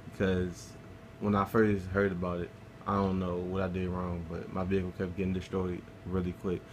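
A man's voice: deep voice, monotone, moderate pace, a little hiss or hum. A man casually talking through a game walkthrough, laid-back and swearing freely as he plays. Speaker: gameplay guide narrator.

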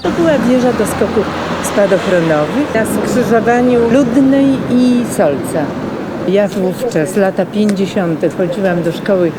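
A woman's voice: medium pitch, lively intonation, unhurried. A woman in her seventies sharing memories in an interview, recorded outdoors on a city street. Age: 70s. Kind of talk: reminiscing in an interview. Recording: loud traffic and street noise.

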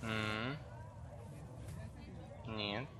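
A hoarse young man casually commenting while playing a video game, slangy and mumbling at times. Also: lowish voice, fairly even intonation, very slow, very noisy. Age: young adult. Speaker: gameplay commentator. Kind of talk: casual let's-play commentary.

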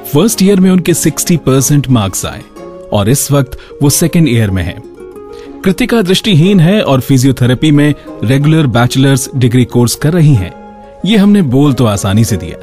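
A man's voice: medium pitch, sing-song, normal speed, a little mellow, faint music behind. A man hosting a radio show with scripted narration.

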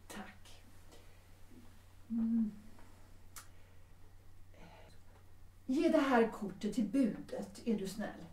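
A woman's voice: fairly high pitch, expressive, mellow, natural voice. A woman playing a proud, resolute middle-aged widowed mother in a 1930s audio drama, composed, formal and commanding. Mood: composed, firm. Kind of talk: Swedish audio drama acting. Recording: roomy, distant from the mic.

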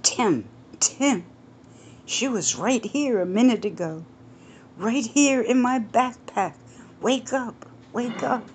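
A woman's voice: on the high side, slow, slight background noise, pitched above the performer's own voice. A woman voicing a college-age young man who urgently pleads for help finding his lost pet.

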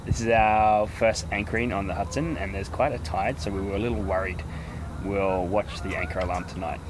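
Calm man giving boat updates. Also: on the low side, fairly quick, background noise. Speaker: sailing vlogger. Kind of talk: casual on-board updates. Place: outdoors on a sailboat.

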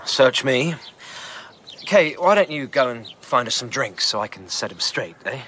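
An actor playing a sly, flippant gigolo in a radio drama, with a sardonic manner. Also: male voice, mid-range voice, animated, a little slow, bright, forceful tone, a little hiss or hum. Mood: mocking. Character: sly, flippant male charmer, a kept gigolo.

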